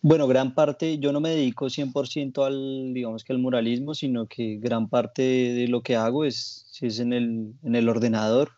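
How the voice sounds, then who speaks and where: Colombian male guest, dark mellow timbre, reflective, conversational, close dry sound. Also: medium pitch, little pitch movement, normal speed, few pauses, dry recording, lo-fi sound. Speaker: interview guest, graphic designer and muralist. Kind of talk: casual conversational interview.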